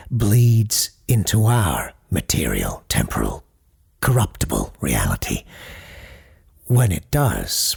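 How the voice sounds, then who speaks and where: A man playing a solemn parapsychologist who hosts a late-night call-in show in an audio drama, speaking formally and deliberately in a husky, gravelly voice. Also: lowish voice, darkish tone, heavily acted. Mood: grave, portentous. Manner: formal, deliberate, halting pauses. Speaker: radio call-in host. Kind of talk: scripted audio drama, deliberate radio monologue.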